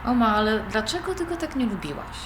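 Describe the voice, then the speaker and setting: A woman's voice: a little high, very animated, fairly quick. A young woman playing an independent-minded granddaughter, conversational and questioning, in audio drama dialogue. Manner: conversational, questioning, mildly protesting. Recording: roomy recording with background noise.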